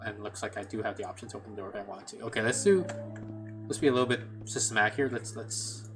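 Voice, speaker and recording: A man's voice: fairly low pitch, fast. A man streaming a game, thinking aloud in a chatty way with many restarts. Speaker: gameplay streamer. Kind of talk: casual let's play commentary. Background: game music.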